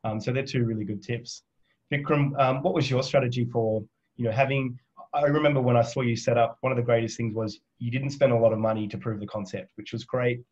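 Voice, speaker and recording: Husky man hosting a lo-fi video call. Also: medium pitch, fairly even intonation, a little fast, a little mellow. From Australia. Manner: sums up, asks long questions with many fillers. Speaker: host and moderator. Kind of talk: moderating a video panel. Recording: dull, lo-fi video call audio.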